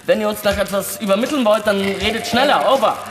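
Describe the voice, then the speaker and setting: A man's voice: high voice, fast talker, lightly acted. An actor in a staged radio play reading, playing a chatty male mission radio operator with a newsreader's delivery that can turn breezy. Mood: breezy, teasing.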